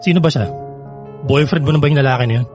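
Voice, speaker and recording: An actor playing a lovesick, persistent young ex-boyfriend in a Filipino radio drama, earnest and pleading. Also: male voice, fairly high pitch, racing, darkish tone, natural voice. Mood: jealous, upset. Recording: music bed behind the voice.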